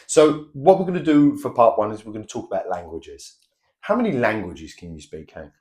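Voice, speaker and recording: A man examining a student in a mock speaking test, giving clear and measured instructions. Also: on the low side, big pitch swings, mellow. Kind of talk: mock exam interview.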